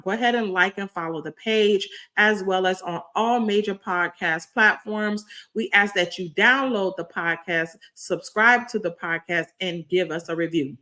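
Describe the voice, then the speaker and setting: Female podcast host, slightly raspy, bright tone, effusive preacher-like delivery. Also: mid-range voice. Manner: effusive, repetitive, preacher-like cadence. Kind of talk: enthusiastic podcast intro.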